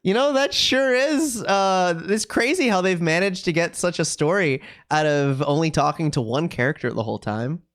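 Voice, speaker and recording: A man's voice: fairly high pitch, expressive, normal speed, few pauses, a bit bright. A man live-streaming a visual novel, reacting with casual, wry asides. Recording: music playing behind the voice. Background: game music.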